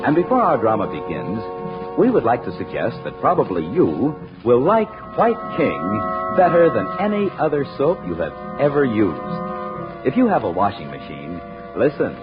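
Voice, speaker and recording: A man's voice: medium pitch, huge pitch swings, moderate pace. A man announcing and narrating a 1940s radio show with polished, emphatic, scripted delivery. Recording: muffled old radio, music behind.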